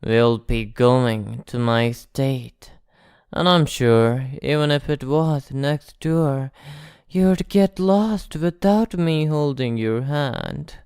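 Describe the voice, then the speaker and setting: Female performer as an arrogant vampire, slow delivery, smooth clear tone, possessive, condescending, quietly menacing, dry close ASMR roleplay. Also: a bass voice, no echo, heavily acted. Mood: smug, commanding. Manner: haughty, teasing, softly threatening.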